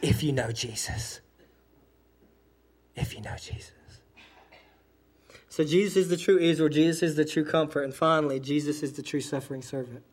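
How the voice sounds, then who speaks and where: A man's voice: fairly high pitch, normal speed, pauses often. A man, preaching a sermon as pastor and explaining scripture with frequent self-corrections. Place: church sanctuary.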